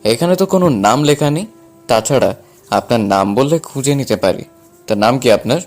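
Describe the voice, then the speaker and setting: A man acting as a young man in an audio story, cheeky yet caring, with a mid-pitched voice. Faint music runs under his lines. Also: animated, brisk, lightly acted. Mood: teasing.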